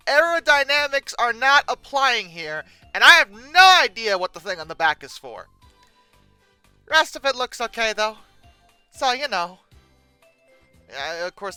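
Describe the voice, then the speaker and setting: Youtube host riffing on pictures, super animated, clear voice, stammers a lot, music behind. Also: mid-range voice, measured pace, dry recording. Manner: hesitant, stammering, with theatrical exasperated emphasis. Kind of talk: humorous unscripted commentary on pictures.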